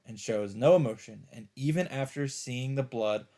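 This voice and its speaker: A man's voice: medium pitch, normal speed, dark tone. A man hosting a let's play, reading visual novel narration dramatically and adding his own casual asides. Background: background music.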